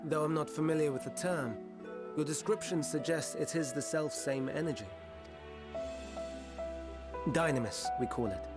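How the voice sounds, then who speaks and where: An actor playing a refined, self-assured fantasy game scholar who lectures gently in eloquent, archaic phrasing. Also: male voice, fairly high pitch, normal speed, long pauses, loud music behind the voice, natural voice. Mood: composed, explanatory. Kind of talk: theatrical fantasy video game acting.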